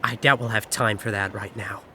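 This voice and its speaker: A man voicing a level-headed, inquisitive companion in a horror mystery fan dub, measured and pondering. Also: lowish voice, fairly even intonation, sharp tone, slight background noise. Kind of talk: visual novel fan dub acting.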